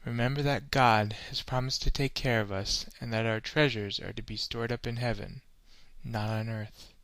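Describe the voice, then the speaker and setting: A man leading a scripted guided meditation in a measured, solemn, instructional manner. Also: medium pitch, steady tone, fairly bright tone, dry recording.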